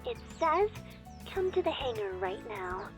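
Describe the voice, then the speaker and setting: A woman's voice: high voice, very animated, a little slow, faint music behind. An actress playing a teasing, playful young female student in a visual novel game, with a girlish voice. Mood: playfully coy. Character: teasing young female schoolmate on a phone call.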